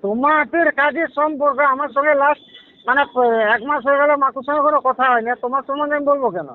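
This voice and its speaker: Over a narrow telephone line comes a man. His voice is high for a man, and he talks quickly and informally, questioning and protesting. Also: steady tone. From West Bengal, India. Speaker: prank call victim. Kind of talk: casual phone conversation. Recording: tinny narrow phone line.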